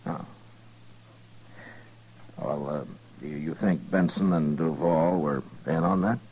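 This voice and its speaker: A man acting a calm, dogged frontier marshal in a 1950s radio western, speaking in a halting, understated, dry way. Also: very deep voice, husky, lo-fi sound, exaggerated voice. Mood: hesitant, probing. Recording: noisy vintage radio drama recording.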